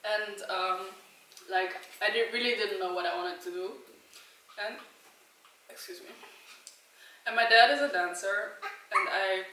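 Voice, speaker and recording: A woman's voice: medium pitch, measured pace, pauses often, pushed and bright. A young woman from Switzerland chatting casually in a Q&A, rambling with fillers and giggling often. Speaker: vlogger answering questions. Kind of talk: casual chat. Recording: echoey room, mic at a distance.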